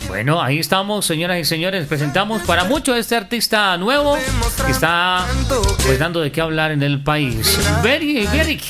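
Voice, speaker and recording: A man's voice: sits high, very animated, moderate pace, over music. A man hosting a radio interview, with upbeat broadcaster patter. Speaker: radio host.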